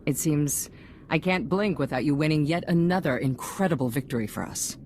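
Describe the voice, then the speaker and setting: A woman's voice: low voice, fairly quick, a little mellow, a little hiss or hum, natural voice. An actress playing a poised, self-assured female head of a galactic government in a video game, with a stately, polished political delivery. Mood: gracious, formal. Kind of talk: video game cutscene voice acting.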